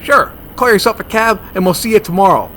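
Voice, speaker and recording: An actor playing a fussy, germophobic monster hunter, blunt and bossy, in a comedy horror audio drama. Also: male voice, high-pitched, brisk, a little hiss or hum. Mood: dismissive, deadpan. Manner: deadpan, argumentative.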